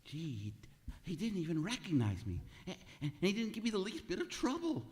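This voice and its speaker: A man's voice: sits high, wildly swooping pitch, brisk, darkish tone. A man playing a nervous, exasperated department store clerk who stammers and mutters to himself in an old-time radio comedy. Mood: giddy relief. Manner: stammering, fretful, muttering self-pitying asides. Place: live performance in a museum hall. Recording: reverberant hall, live stage recording.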